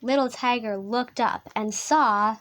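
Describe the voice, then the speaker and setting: A woman reading a children's picture book aloud in a soothing, expressive storytelling manner. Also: on the high side, takes it slowly, a little hiss or hum.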